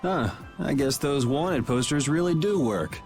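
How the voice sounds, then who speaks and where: A man's voice: fairly high pitch, lively intonation, a little slow, a little mellow, soft background music. A man playing a cool, self-assured young guild swordsman in a video game, with a dry, laid-back delivery. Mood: wry, guarded. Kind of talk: video game dub acting.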